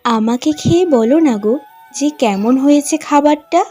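A woman's voice: sits high, moderate pace, dark tone. A woman playing a patient young village bride in a cartoon, pleading and deferential. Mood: affectionate. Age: young adult. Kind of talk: cartoon voice acting. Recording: music behind the voice.

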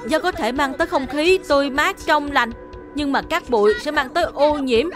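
A woman's voice: high voice, normal speed, natural voice. A woman voicing an earnest, curious and polite little boy in a children's cartoon, with a childlike manner. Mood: firm, earnest. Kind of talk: dubbed children's cartoon acting. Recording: music behind the voice.

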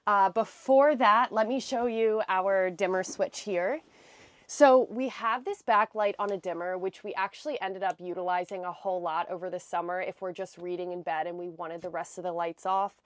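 A woman's voice: medium pitch, fairly even intonation, moderate pace, no room sound. A young American woman giving a chatty, explanatory walkthrough tour inside a small camper van, speaking casually into a clip-on mic. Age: young adult. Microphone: clip-on lapel mic.

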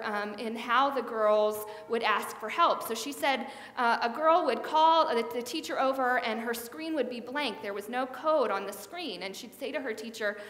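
A middle-aged woman pastor preaches in a relaxed, conversational way, with a slightly high voice. She wears a headset mic in a big church sanctuary. Her words ring out with a long, roomy echo. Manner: conversational preaching with hand gestures. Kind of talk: sermon. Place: large church sanctuary, indoors. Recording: echoey hall with long reverb.

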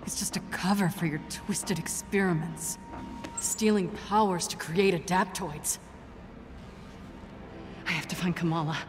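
Woman acting a low-voiced, tough spy heroine. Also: steady tone, a little slow, pauses often, lightly acted. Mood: muttering, tense. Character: tough, dry-witted adult female spy hero on a rescue mission. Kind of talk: video game cutscene acting. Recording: music behind the voice.

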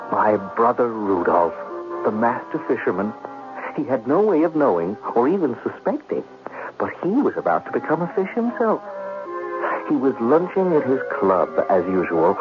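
A man acting a scheming gambler in a 1970s radio drama, with a smooth, sly, theatrical delivery. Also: medium pitch. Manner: theatrical, sly, feigning innocence. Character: scheming, smooth gambler brother narrating his own plot. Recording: muffled, noisy 1970s radio broadcast.

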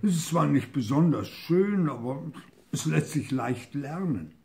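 A man's voice: a little high, expressive, a little slow, gravelly, a little mellow, voice pushed up. An older man voicing a wise old owl, earnest and resigned, in a storybook read-aloud.

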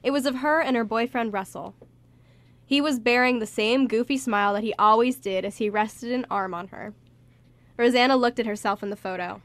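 A woman's voice: on the high side, normal speed, no room sound. A woman reading scripted story narration for a radio play.

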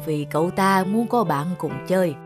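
A woman playing a kindly old village grandmother in a children's cartoon, gentle and reassuring. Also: fairly low pitch, normal speed, soft background music, close to an everyday voice. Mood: calm, reassuring.

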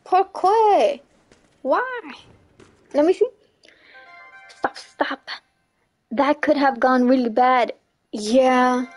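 A woman's voice: sits high, extremely slow, long pauses, soft background music. A young woman streaming a game, chatting casually with viewers and laughing often. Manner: chatty, laughs often, repeats "you know what I'm saying". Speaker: livestreamer. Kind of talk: casual chat while gaming, reading chat.

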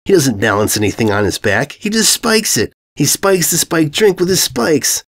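A man voicing a cheeky, excitable pony mare with a teasing manner in a storybook reading. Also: fairly high pitch, normal speed, pitched above the performer's own voice. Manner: teasing, snickering.

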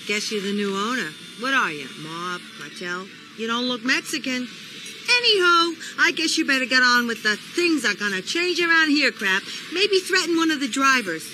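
Brash older female taxi boss, cynical game character, animated delivery, noisy background. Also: high voice, moderate pace. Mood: sardonic. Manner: sardonic, blunt, chatty. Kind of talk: video game cutscene acting.

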